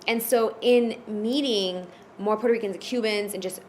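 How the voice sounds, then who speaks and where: A woman's voice: mid-range voice, fairly even intonation, normal speed, a little hiss or hum. Woman telling her story with many ums. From United States (Florida). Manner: hesitant, many fillers and restarts. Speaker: podcast guest at a retreat. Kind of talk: casual personal storytelling.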